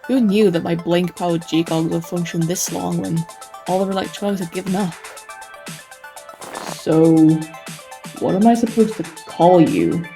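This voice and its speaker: A woman plays a world-weary wasteland tour guide, a sardonic man narrating his own story in a comic dub. The voice is fairly low, dry and brooding, with some music underneath. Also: moderate pace, gravelly, dark tone. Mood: wry, puzzled. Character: weary, sarcastic male tour guide surviving nuclear wasteland.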